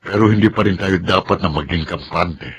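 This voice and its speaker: A mild Filipino father in a radio drama. His voice sits low and deep, and he speaks as someone careful and wary of deceit. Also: a little fast, slight background noise. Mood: pensive, wary.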